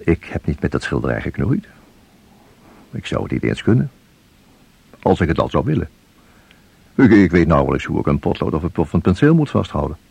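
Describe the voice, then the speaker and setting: An actor playing a troubled man muttering to himself about a secret in a radio drama, gravelly, breathy and halting. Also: low voice, mellow, highs cut off, exaggerated voice.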